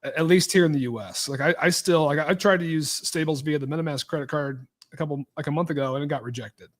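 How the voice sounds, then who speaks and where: A male co-host speaks quickly in a slightly high voice. He hedges his points, restarting sentences and filling gaps with "like" in casual podcast chat. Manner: hesitant, many restarts and likes.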